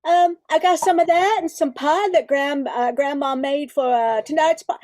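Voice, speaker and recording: A woman's voice: high voice, normal speed, a little mellow, lightly acted. A woman playing a timid, polite young Cajun granddaughter in a radio drama, speaking hesitantly with stammers. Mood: shyly hospitable. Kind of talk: amateur radio drama acting.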